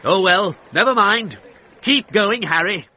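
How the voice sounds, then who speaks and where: An actor playing an excitable 1930s British sports commentator with a British accent, calling a race for radio in a clipped, descriptive way. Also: male voice, sits high, a little slow, full character voice. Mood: brisk. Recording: dull noisy vintage-style radio broadcast.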